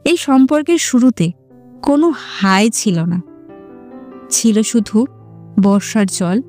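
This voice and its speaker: Woman story narrator, warm relaxed voice, poetic n measured, music playing behind. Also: on the high side.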